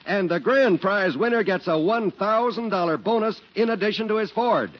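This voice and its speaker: A man's voice: sits high, moderate pace. A man working as a 1940s radio announcer, delivering scripted advertising and narration. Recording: muffled 1940s radio recording. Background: music.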